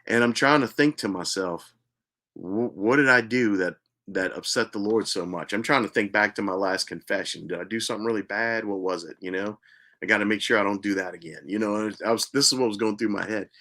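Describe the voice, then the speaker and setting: A man earnestly telling a personal spiritual story as host, repeating himself for emphasis and sometimes dropping to a hushed voice. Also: on the low side, a little fast. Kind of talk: personal testimony monologue.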